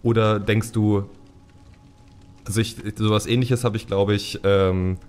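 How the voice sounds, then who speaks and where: A man's voice: lowish voice, fairly even intonation, measured pace, a little mellow, smooth. A man hosting a casual podcast interview. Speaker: podcast host.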